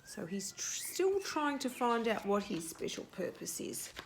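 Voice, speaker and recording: A woman's voice: on the high side, very animated, unhurried, vocal fry, in a whisper. A woman reading a picture book aloud for young children, explaining simply and asking questions as she goes. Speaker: children's storyteller.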